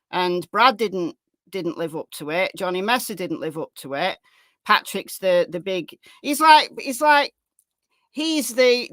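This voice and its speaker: A woman presents livestream commentary in a medium-pitched voice. She talks emphatically, doubling back on phrases to drive them home and answering viewers as she goes. The sound is dry, with no room around her. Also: a bit bright, dry recording. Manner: emphatic, repeats phrases for stress. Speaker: livestream host. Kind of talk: true crime livestream commentary with chat replies.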